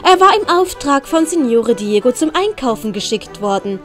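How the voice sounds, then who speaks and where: A woman's voice: high voice, big pitch swings, moderate pace, a bit bright, soft background music. A woman with a Swiss German accent reading scripted story narration for an audiobook.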